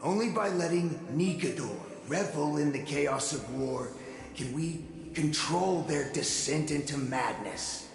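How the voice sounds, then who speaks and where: An actor playing a scheming, fanatical priest in a video game, with formal, theatrical delivery. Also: male voice, on the high side, measured pace, creaky. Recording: echoing game dialogue over music.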